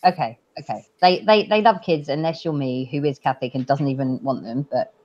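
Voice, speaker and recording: A woman's voice: very deep voice, a little fast, darkish tone. A woman co-hosting a casual podcast chat, who giggles a lot and trails off with fillers. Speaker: podcast co-host. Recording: dull lo-fi audio.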